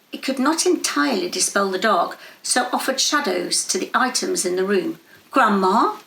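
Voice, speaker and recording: Creaky-voiced woman narrating a story. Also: mid-range voice, a bit bright, reverberant, low-quality recording. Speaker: story reader. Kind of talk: scripted literary narration.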